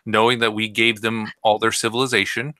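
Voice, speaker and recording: A man's voice: on the low side, level tone, a little fast, pushed and bright, smooth, dry recording. A man in casual chat who trained his voice to sit further back in his throat, rambling with many fillers.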